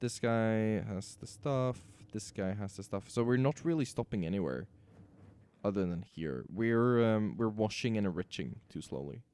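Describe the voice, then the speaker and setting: Hoarse male game streamer, low mellow voice, lively pitch, thinks aloud while playing. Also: moderate pace. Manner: talks to himself while building machines. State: raspy, sore voice. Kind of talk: casual gameplay commentary.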